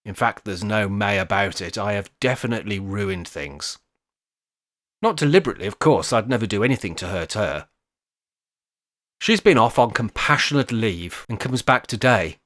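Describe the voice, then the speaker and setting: A man's voice: lowish voice, animated, normal speed, a bit bright. A man playing a wry, scheming older care home resident with an English accent and jokey, mock-grand phrasing in an amateur radio play. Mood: rueful, reflective.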